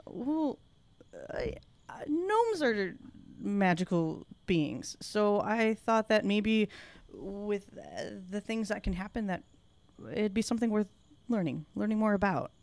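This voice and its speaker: A woman improvising in-character dialogue at a tabletop game, speaking in a hesitant, halting way. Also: mid-range voice, expressive, darkish tone, no echo.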